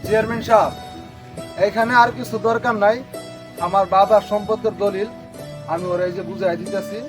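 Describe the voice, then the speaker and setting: A man with a Bangladeshi accent playing a gentle, self-sacrificing elder brother in a melodramatic family drama. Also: high-pitched, steady tone, brisk, natural voice, low-quality recording. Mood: resigned. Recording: background music over dialogue.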